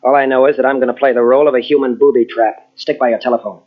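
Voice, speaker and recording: An actor playing a grave, earnest psychologist in an old-style radio mystery, husky and deliberate, with dramatic pauses. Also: male voice, medium pitch, steady tone, close to an everyday voice. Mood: grave, urgent. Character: earnest, grave male psychologist confronting a killer. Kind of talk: 1940s radio mystery acting. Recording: dull lo-fi old radio tape.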